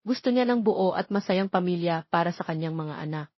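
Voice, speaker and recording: A woman's voice: lowish voice, brisk, no echo, lo-fi sound. A woman reading scripted story narration as the narrator of an audio romance.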